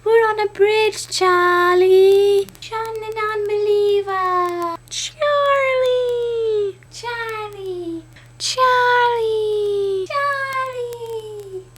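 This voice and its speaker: A woman voicing an excitable, nagging, silly cartoon creature with whiny, drawn-out calls. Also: very high-pitched, a little hiss or hum, pitched above the performer's own voice, full character voice. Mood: silly, excited.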